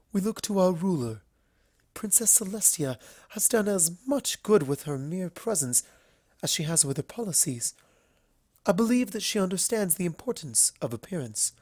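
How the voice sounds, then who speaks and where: Woman voicing an earnest, refined unicorn pony, raised pitch. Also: a little mellow, husky, dry recording, clean studio sound. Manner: formal, eloquent, emotive.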